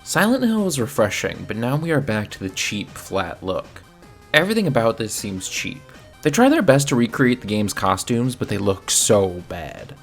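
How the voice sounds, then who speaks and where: A man's voice: mid-range voice, very animated, moderate pace, faint music behind. A man narrating a scripted video review in a casual, opinionated manner. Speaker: film reviewer.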